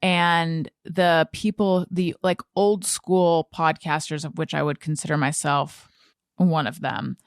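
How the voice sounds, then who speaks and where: Female podcast host, low voice, slow and halting conversational delivery, close dry sound. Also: fairly even intonation, no room sound. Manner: halting, thinks aloud, repeats words. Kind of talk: casual conversational podcast talk.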